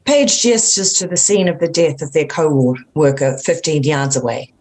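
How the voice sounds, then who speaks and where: A woman's voice: low voice, normal speed, a little mellow. A woman reading screenplay stage directions as a narrator, in a plain, matter-of-fact manner.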